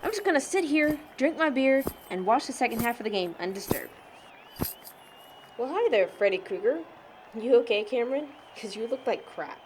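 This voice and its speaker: A woman's voice: fairly high pitch, animated, normal speed, a little hiss or hum, natural voice, rough recording. A young woman playing a blunt, sardonic teenage churchgoing girl in an amateur audio drama, frank and slangy.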